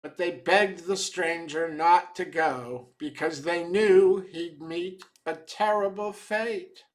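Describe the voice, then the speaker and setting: A man giving a dramatic reading of a short tale, measured and theatrical as a storyteller. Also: high-pitched, rough recording.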